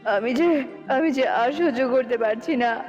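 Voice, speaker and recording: A woman's voice: fairly high pitch, a little slow, a little mellow, soft background music, lightly acted. A woman voicing an emphatic father bird, a stubborn king in a cartoon fairy tale. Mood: agonized.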